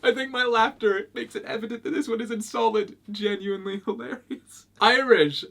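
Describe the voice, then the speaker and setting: A man's voice: very high voice, fairly even intonation, normal speed, gravelly, pushed and bright. A young man with a Scottish accent from Britain, reading hate comments aloud and responding in a dry, amused, casual way. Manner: dry, amused, laughs often. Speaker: YouTuber.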